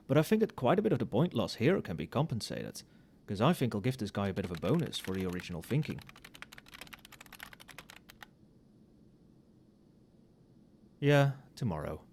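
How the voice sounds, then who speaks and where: A man playing a tipsy, friendly professor in a casual ASMR roleplay, speaking softly and muttering as if to a colleague. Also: lowish voice, very animated, fast talker. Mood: tipsy, pleased. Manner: soft-spoken, muttering. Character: tipsy, friendly, conscientious male magic-university professor working late.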